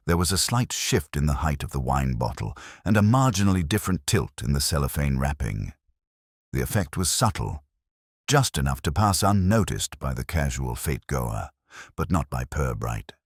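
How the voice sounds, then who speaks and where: A man narrating a scripted mystery story in a measured, deliberate manner. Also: a bass voice, sing-song, breathy edge, no echo, studio quality.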